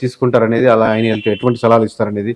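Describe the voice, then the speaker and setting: Very fast-talking Telugu man, explaining. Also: fairly low pitch, level tone. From Telugu-speaking southern India. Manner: explanatory, mixes in English words and phrases. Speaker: guest, election survey company head. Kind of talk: interview answers, political analysis.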